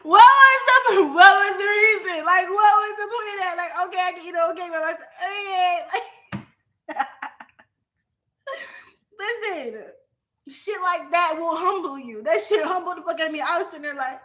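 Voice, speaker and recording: High-pitched, bright-voiced young woman vlogger. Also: normal speed. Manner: profane, animated, laughs often. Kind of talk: casual monologue to viewers.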